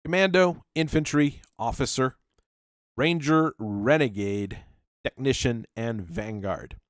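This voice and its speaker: A man at a middle pitch, thinking out loud as he builds a tabletop game character. He reads rules aloud in a halting, musing way. His voice is close and dry, with no room sound around it. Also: no room sound. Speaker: channel host. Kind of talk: talking through tabletop character creation.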